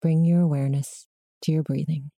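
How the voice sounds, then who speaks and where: A woman guiding a body scan meditation in slow, measured short prompts with long pauses between them. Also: low voice, fairly even intonation, warm tone, no echo. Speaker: meditation guide.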